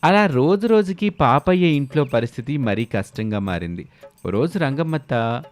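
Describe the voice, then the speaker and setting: A man's voice: mid-range voice, animated, moderate pace, faint music behind. A man reading scripted narration as the storyteller of a moral tale.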